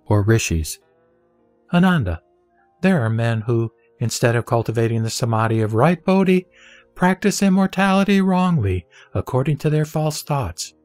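A man reciting Buddhist scripture from a script in a solemn, measured, formal delivery. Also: medium pitch, darkish tone, no room sound. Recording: dry voice over background music. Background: music.

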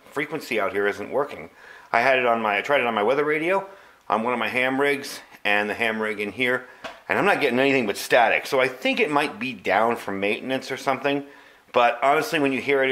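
A man's voice: medium pitch, animated, a little fast, bright, forceful tone. A man giving a casual, unscripted product review as a channel host, chatting informally to his viewers. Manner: informal, conversational, addresses viewers as folks.

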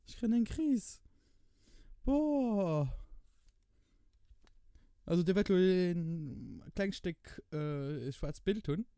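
A man with a Luxembourgish accent giving casual gameplay commentary, excitable and repeating words in quick bursts. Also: high-pitched, clean tone, dry recording, clean studio sound. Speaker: gamer commentating own play.

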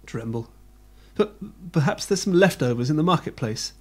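A man's voice: a little high, animated, normal speed. A man voicing a tired, hungry woman down on her luck who grumbles to herself. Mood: glum. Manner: grumbling, self-pitying.

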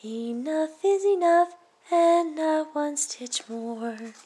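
A modest young boy from a storybook, played by a woman reading aloud. The voice is lifted high and gently cautioning. He comes across as wise beyond his years. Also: slow, a little hiss or hum. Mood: sad.

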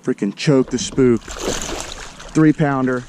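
Mellow-voiced American guy vlogging from a kayak. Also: mid-range voice, slow, a little hiss or hum. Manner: excitable, slangy, talks to viewers as "guys". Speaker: fishing vlogger. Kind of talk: casual running commentary to camera. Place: kayak on a lake, outdoors. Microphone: action camera mounted on the kayak.

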